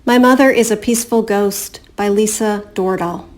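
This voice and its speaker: A woman poet reading her own poems in a measured, deliberate way. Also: mid-range voice, steady tone, music in the background. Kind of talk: poetry reading.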